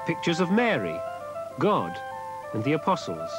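A young man presenting a history documentary, explaining clearly in scripted narration. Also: a little high, huge pitch swings, measured pace. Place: outdoors by a cathedral. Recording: narration over music.

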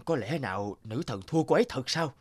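A man voicing an earnest, awed village man in an animated fairy tale, husky and reverent. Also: mid-range voice, fairly quick, no room sound, clean studio sound. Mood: wondering.

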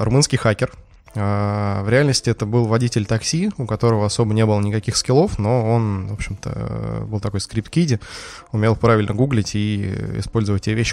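A man's voice: lowish voice, creaky, a little mellow. A man, a security expert on a podcast, explaining things in a hesitant storytelling way with many fillers.

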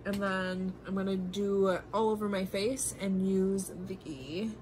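A woman's voice: mid-range voice, fairly even intonation, a little slow, a little mellow, slight background noise, rough recording. A woman in her thirties chatting through a makeup tutorial in her bedroom, slightly nasal from congestion, rambling with many ums and apologetic asides, filmed on a close phone camera. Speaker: beauty vlogger. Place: bedroom at home, indoors. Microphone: phone camera held close.

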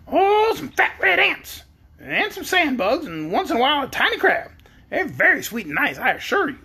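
A reader voicing a brisk, cheeky female yellow hen in a storybook, self-assured and fond of arguing. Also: high-pitched, wildly swooping pitch, creaky, pitched above the performer's own voice, heavily acted. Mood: matter-of-fact.

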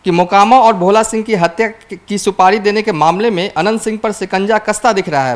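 A young man reporting the news to camera in a studio, holding a handheld mic, with a brisk, urgent newsreader delivery and occasional restarts. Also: sits high.

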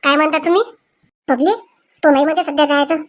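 A woman's voice: high-pitched, steady tone, quick pace, lightly acted. A woman voicing a worried older village mother-in-law in a comic cartoon, coaxing plaintively. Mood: pleading.

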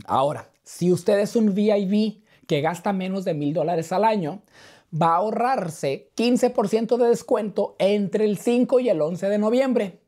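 A slightly hoarse man with a mellow voice talks to camera as a beauty vlogger. He is playful and chummy with his viewers, chatting in an animated way in a furnished room. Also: high-pitched, measured pace. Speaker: skincare video host. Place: furnished living room indoors. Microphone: clip-on lapel mic.

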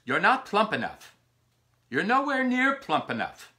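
A man's voice: sits high, wildly swooping pitch, a little slow, sharp tone, full character voice. A man acting in a stage play as a cruel, agitated lover ending an affair, insistent and repetitive. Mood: scornful, insistent.

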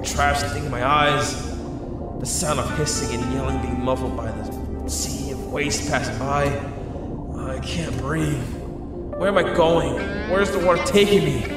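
A man's voice: mid-range voice, steady tone, a little slow, lightly acted. A young man acting an anxious, battered superhero in an audio drama, earnest and breathless as he narrates his ordeal. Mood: panicked. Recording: very echoey hall-like reverb, music behind.

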